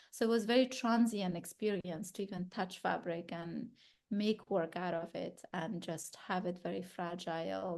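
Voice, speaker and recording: A woman's voice: lowish voice, gravelly, a little mellow. A woman in her thirties from Afghanistan, speaking thoughtfully about her work at a gallery talk, often restarting and searching for words. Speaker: exhibiting artist.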